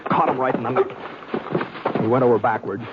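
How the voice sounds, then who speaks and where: An actor playing a reluctant, laconic drifter in a radio western, folksy and understated. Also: male voice, medium pitch, fairly even intonation, brisk, noisy background, lightly acted. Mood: wry, storytelling. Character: reluctant, laconic drifter telling his own western tale. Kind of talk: old-time radio western acting and first-person narration. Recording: dull old radio recording.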